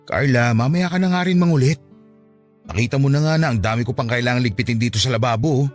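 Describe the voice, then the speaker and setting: A man's voice: medium pitch, fast talker, soft background music, natural voice. A man playing a harried, evasive cheating husband in Filipino radio drama, exasperated and insistent. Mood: annoyed.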